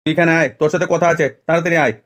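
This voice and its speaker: Fast, high-pitched scolding cartoon father played by a man. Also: steady tone, a bit bright, lightly acted. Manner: scolding, crude, threatening. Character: gruff, foul-mouthed, bad-tempered village father. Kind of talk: amateur comedy cartoon voice.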